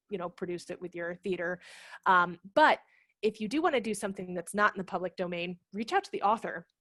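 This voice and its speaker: A woman in her thirties talking casually and explaining in an animated way on a webinar, speaking into a headset. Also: fairly low pitch, no echo, clean studio sound. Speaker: theater director, guest presenter. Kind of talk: casual webinar question-and-answer talk. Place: small home room, video call. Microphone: headset microphone.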